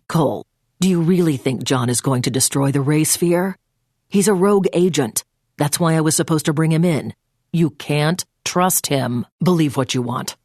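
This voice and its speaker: An actress playing a stern, controlling government agent who gives clipped, no-nonsense orders as a mission handler in a video game. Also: female voice, low voice, no room sound, studio quality. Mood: grim, firm.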